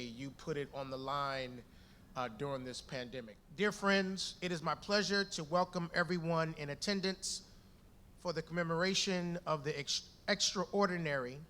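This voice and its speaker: A man with a fairly high, bright voice speaks as a reverend before a live audience. His delivery is resonant and measured, like formal oratory for a letter read aloud. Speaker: reverend reading an official letter.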